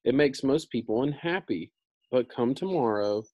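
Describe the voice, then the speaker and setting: A man's voice: medium pitch, animated, normal speed, darkish tone, no echo, pitched above the performer's own voice, close to an everyday voice. A man voicing a little humbug wizard from a storybook, matter-of-fact, evasive but obliging. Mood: assured.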